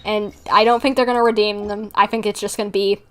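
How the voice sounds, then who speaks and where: A woman's voice: mid-range voice, little pitch movement, fast talker. A woman livestreaming a game, chatting casually with viewers in a rambling, giggly manner as she plays. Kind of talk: casual livestream chat while playing, replying to viewers.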